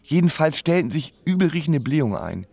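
A man's voice: fairly high pitch, big pitch swings, brisk, vocal fry, a little mellow, husky, no echo. A man narrating his own story in a comic radio play with wry, literary phrasing. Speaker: first-person narrator.

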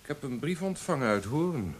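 An actor playing a concerned friend who speaks reproachfully in a radio play. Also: male voice, medium pitch, big pitch swings, normal speed, husky. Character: concerned, admonishing male friend. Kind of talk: Dutch radio play acting. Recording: faintly hissy old radio play recording.

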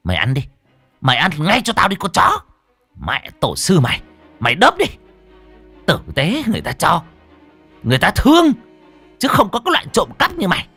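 A man's voice: wildly swooping pitch, fairly quick, fairly bright tone, husky, soft background music, full character voice. A man voicing a fat, foul-mouthed, furious woman who runs a rice eatery, scolding and cursing in barked questions. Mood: enraged. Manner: shrill cursing, scolding, barking rhetorical questions.

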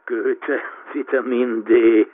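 Old curator on a thin phone line. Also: male voice, medium pitch, little pitch movement, slow pace. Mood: teasing, correcting. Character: old male curator colleague, concerned, correcting, gently mocking. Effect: telephone filter. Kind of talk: radio drama acting. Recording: thin, band-limited telephone line.

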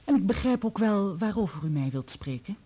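An actress playing a guarded, worldly society woman in a Dutch radio play, with a husky voice. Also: medium pitch, lively intonation, a little fast, warm tone.

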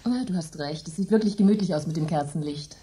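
An actress playing an uneasy, apologetic hostess in a radio play, speaking hesitantly. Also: female voice, deep voice, fast, mellow, echoey room, a little hiss or hum, close to an everyday voice. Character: nervous hostess, easily startled and apologetic.

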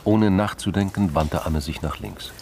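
A man narrating a radio crime drama in a measured, literary storytelling manner. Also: sits low, gravelly, a little mellow, slight background noise.

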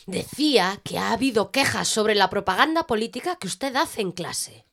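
A woman plays a stern school headmistress in an audio drama. She is formal and guarded, scolding with authority in a lively, swooping intonation. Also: medium pitch, normal speed, gravelly, a little hiss or hum. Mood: exasperated. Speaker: actor.

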